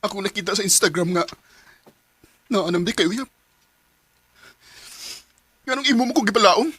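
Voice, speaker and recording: Guy doing a shy college boy confessing, husky, lots of ums. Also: sits high, a bit bright, no room sound. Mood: hurt. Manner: hesitant, halting, many ums and throat clears. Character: young male student courting a classmate. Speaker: voice actor. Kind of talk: amateur radio drama acting.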